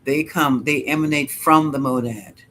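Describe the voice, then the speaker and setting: Man, middle-aged, rambling live chat host, chuckles a lot, mid-pitched voice. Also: moderate pace, sharp tone, a little hiss or hum. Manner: rambling, musing aloud, chuckling often. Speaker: psychic livestream host. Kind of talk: live chat, answering viewer questions.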